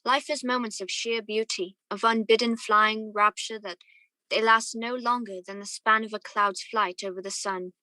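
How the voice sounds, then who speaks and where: A woman's voice: a little high, steady tone, moderate pace, pushed and bright, no room sound. A young woman playing a wistful, courteous man who narrates his memories lyrically in a radio play. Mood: nostalgic, dreamy. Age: young adult. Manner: lyrical, reflective storytelling. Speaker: student actor.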